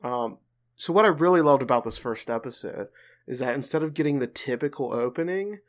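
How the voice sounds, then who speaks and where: A man's voice: mid-range voice, expressive, a little fast. Joking man hosting a casual podcast. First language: not Japanese. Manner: joking, teasing. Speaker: podcast host.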